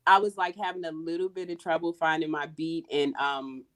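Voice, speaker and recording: A woman's voice: low voice, a little fast. A woman auditioning as an actress, chatting casually and modestly, a little nervous.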